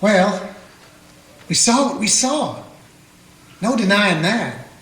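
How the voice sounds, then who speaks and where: A man playing a steady small-town Old West lawman in a radio play, telling the story plainly in the first person. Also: sits high, takes it slowly, slight background noise, full character voice. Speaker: narrator and lawman character. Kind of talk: amateur radio play acting. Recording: echoey room with guitar music.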